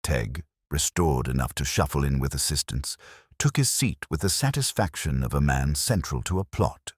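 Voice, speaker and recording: A male narrator telling a detective mystery in an even, unhurried way. His voice is very deep, yet his pitch moves expressively from phrase to phrase. The recording is dry and close, with no room sound. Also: slightly rough, no room sound. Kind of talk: scripted audiobook narration.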